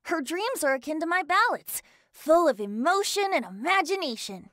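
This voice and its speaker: Woman voicing a high, bouncy, teasing young bard god, boyish and lively, dry studio read. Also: moderate pace, dry recording, exaggerated voice. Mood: playful. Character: playful young male bard god, carefree. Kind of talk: game character voice line.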